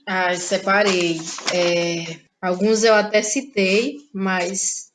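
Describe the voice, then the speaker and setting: A woman from Ceará, a playwright speaking as a guest in a relaxed interview, laughing often and trailing off in pauses. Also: mid-range voice, noisy background. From Ceará, Brazil. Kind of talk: casual interview chat.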